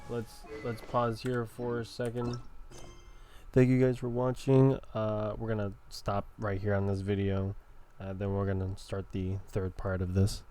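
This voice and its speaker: A man's voice: fairly low pitch, flat delivery, unhurried, warm tone, a little hiss or hum. A man hosting a gaming video, giving informal playthrough commentary as he thinks aloud.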